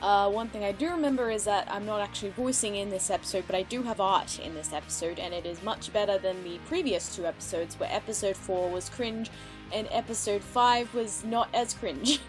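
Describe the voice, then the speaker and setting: A woman's voice: medium pitch, a little fast, poor audio. Chatty woman commentating over music. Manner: chatty, amused. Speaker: reaction commentator, fan artist and voice actor. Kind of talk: casual reaction commentary. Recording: music behind the voice. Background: cartoon soundtrack with music.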